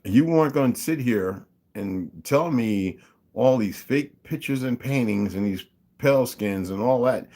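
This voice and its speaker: A man hosting his own channel, talking through his views in a casual monologue, with emphatic asides and listing figures aloud. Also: mid-range voice. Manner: emphatic, mocking interjections; reads figures aloud, asks listeners to follow along. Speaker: channel host.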